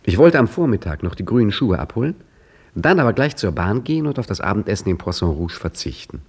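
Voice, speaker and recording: A man's voice: lowish voice, very animated, fast talker, a little mellow, slightly rough, exaggerated voice. An actor narrating as and playing an earnest man hunting a secret name in a 1950s radio play, reflective in narration and flustered in dialogue. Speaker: narrator and main character. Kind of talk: 1950s radio drama acting.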